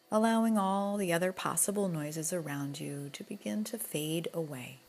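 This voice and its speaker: A woman with a low voice leads a guided relaxation. Her delivery is gentle and very slow, softening at times into breath. Quiet music with singing bowl tones plays behind her. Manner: soothing, gentle, unhurried with soft breathy moments. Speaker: yoga nidra guide.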